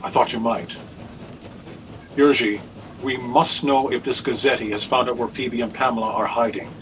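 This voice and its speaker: An older man acting in a radio drama as an anxious, grave reverend with a deliberate, formal way of talking. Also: medium pitch, steady tone, a bit bright, natural voice. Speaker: radio play actor. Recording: distant, noisy video call audio.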